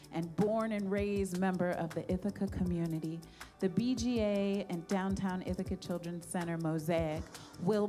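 Mellow woman narrating over music. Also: mid-range voice. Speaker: video narrator. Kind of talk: scripted narration.

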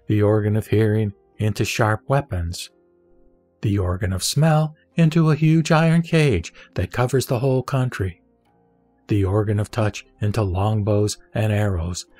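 A man's voice: on the low side, a little mellow. Solemn male scripture reciter over music. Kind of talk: solemn dramatic scripted narration of a religious text. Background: background music.

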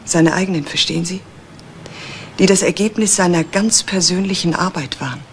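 A woman's voice: on the low side, normal speed, lightly acted. An earnest grown daughter played by an actress in an eighties crime radio drama. She is anxious and explains herself hesitantly but insistently. A faint noise lies under the old recording. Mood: troubled, earnest. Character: earnest, anxious adult daughter of a murdered professor. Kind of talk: 1980s German crime radio drama acting.

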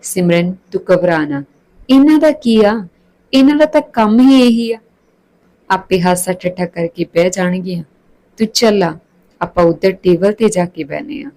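A woman's voice: lowish voice, animated, unhurried, warm tone, low-quality recording. A woman voicing a cheerful, caring young college girl in a story, chatty and coaxing. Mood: reassuring.

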